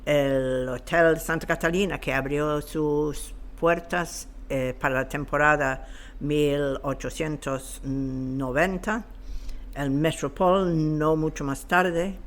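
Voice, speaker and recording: A woman, explaining history as an interview guest in a hesitant, halting way as she searches for words. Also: low voice, fairly even intonation. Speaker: guest expert on local history. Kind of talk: unscripted explanatory talk.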